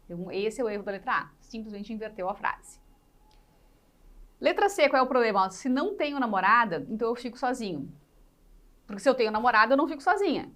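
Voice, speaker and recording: High-voiced woman teaching. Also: expressive, fast, a bit bright. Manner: didactic, repeats key points, poses questions to learners. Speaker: teacher in an exam-prep class. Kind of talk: live lesson explaining exercises step by step.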